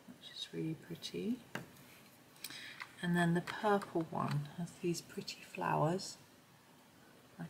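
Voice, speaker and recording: A middle-aged woman with a slightly croaky voice, chatting casually and thinking aloud as she demonstrates something on camera. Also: fairly low pitch, slight background noise, poor audio. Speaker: host of a colouring supplies review. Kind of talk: casual chat while demonstrating.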